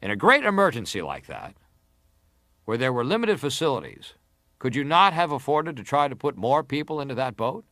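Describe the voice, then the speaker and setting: A man's mid-pitched voice with very lively intonation, recorded dry. a probing, insistent senator at a dramatized hearing. He presses each question in formal, interrogating phrases. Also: a little fast, no echo. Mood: pressing. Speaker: actor reading testimony. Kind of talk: dramatized reading of hearing testimony.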